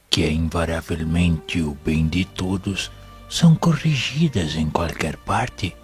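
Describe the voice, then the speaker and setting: Man playing a deep, solemn spirit mentor. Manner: solemn, measured, formal, instructive. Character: wise, serene male spirit mentor and minister. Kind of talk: audio drama, solemn didactic dialogue. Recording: music playing behind the voice.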